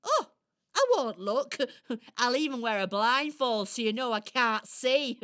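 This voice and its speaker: A boastful, mischievous squirrel from a children's radio play. Her British voice is high and bright, leaping around theatrically as she shows off. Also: lively intonation, unhurried, clear, resonant tone. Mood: playful, confident. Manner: theatrical, exclamatory, cocky.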